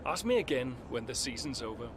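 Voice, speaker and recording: Guy playing seasoned race driver doing game press interview, measured, medium pitch, noisy outside. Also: sing-song, close to an everyday voice. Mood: guarded. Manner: measured, polite, guarded. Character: composed veteran male racing driver near retirement.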